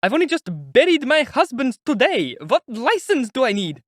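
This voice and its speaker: A woman's voice: sits high, big pitch swings, moderate pace, no room sound, studio quality, pitched above the performer's own voice. A man voicing a desperate, wailing mother who is dying, shrieking at the crowd in a storybook reading.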